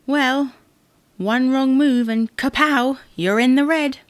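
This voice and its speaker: A woman's voice: high voice, slow pace, no echo. An actress playing a matter-of-fact, defensive wife in a comedy audio drama, needling her husband with dry, pointed retorts. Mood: teasing.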